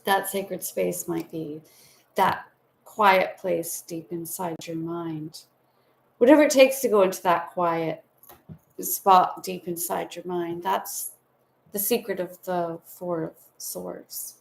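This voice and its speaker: Woman tarot reader on a home live stream; slow, unhurried delivery with a gravelly edge; gentle and spiritual. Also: fairly low pitch, steady tone, slight background noise. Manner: soothing, meandering, spiritual talk with affectionate asides.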